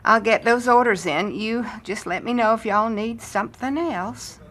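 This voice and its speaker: An actress in an audio drama playing a folksy, no-nonsense diner waitress with a homey, matter-of-fact manner. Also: female voice, fairly high pitch, moderate pace, fairly bright tone, lightly acted. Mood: sympathetic. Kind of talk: audio fiction drama acting.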